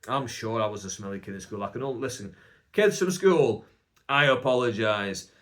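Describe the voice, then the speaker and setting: A man's voice: mid-range voice, lively intonation, normal speed, fairly bright tone. A young man with a Bolton accent, a stand-up comedian rambling through a casual, self-mocking solo podcast with lots of "like" fillers. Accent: Northern English, Bolton. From England.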